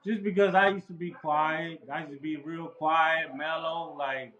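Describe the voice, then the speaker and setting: Interview guest: man, slightly high voice, laid-back street talk, dull roomy recording. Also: fairly even intonation, measured pace, a bit bright. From Los Angeles. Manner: laid-back, repetitive street slang with frequent "bro". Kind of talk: casual storytelling. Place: outdoors near a house.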